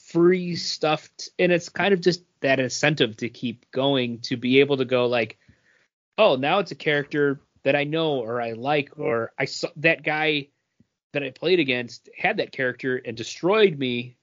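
A man's voice: medium pitch, measured pace, fairly bright tone, no room sound, dull audio. A man co-hosting a podcast in casual chat, thinking aloud and filling with many "like"s. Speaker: podcast co-host.

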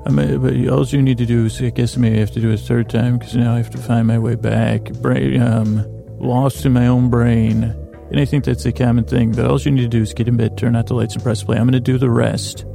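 A man's voice: mid-range voice, little pitch movement, brisk, creaky, warm tone, faint music behind. A man hosting a bedtime podcast, meandering whimsically through a soothing monologue meant to lull listeners to sleep. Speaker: podcast host.

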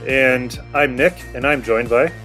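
A man's voice: mid-range voice, a little slow, a bit bright, rough recording. A man hosting a podcast, giving a casual scripted introduction. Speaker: podcast host. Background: faint music.

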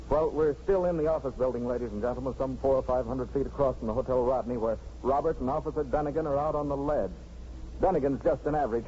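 An actor playing a formal, urgent radio news announcer in a 1950s radio drama. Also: male voice, mid-range voice, warm tone, lightly acted. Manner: formal, brisk. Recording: dull old radio broadcast, faint noise.

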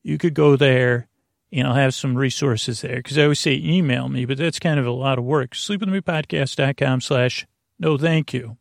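A man with a gravelly, creaky voice hosts a bedtime podcast, recorded close and dry. He wanders through goofy tangents at a middling pitch, stammering and restarting as he goes. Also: moderate pace, no echo. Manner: meandering, stammering, trailing off into tangents; whimsical, goofy. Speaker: podcast host. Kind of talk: rambling bedtime podcast monologue.

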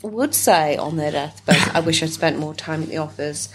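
A woman with a fairly low voice speaks thoughtfully in a discussion, pausing now and then. Faint noise sits behind her. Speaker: discussion participant. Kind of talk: discussion talk.

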